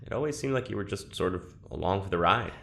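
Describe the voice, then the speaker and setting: An actor playing a guarded, awkward, reluctant male test subject in an audio drama, speaking in a halting, subdued way. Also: low-pitched, lively intonation, gravelly, fairly bright tone, slight background noise, exaggerated voice. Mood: uneasy. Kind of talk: naturalistic audio drama acting.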